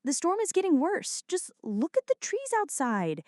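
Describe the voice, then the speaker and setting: A woman's voice: very high voice, huge pitch swings, moderate pace, a little mellow, no room sound, clean studio sound, full character voice. A woman acting a jumpy, flirty, dramatic friend in an ASMR roleplay, talking to the listener in a teasing, mock-indignant way. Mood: defensive, uneasy.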